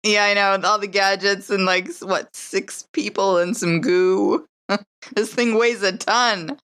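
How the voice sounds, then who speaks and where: A woman's voice: mid-range voice, fairly even intonation, unhurried, a bit bright, clear, resonant tone. Woman role-playing in casual chat. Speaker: player in a tabletop role-playing podcast. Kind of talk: casual in-character tabletop role-play.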